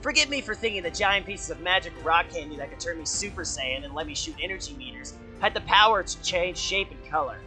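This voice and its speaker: A man voicing a cocky, loyal, wisecracking teenage hedgehog hero with brash, joking delivery in an animated fan dub. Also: on the high side, a little fast, few pauses, over music, lightly acted, low-quality recording. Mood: flippant.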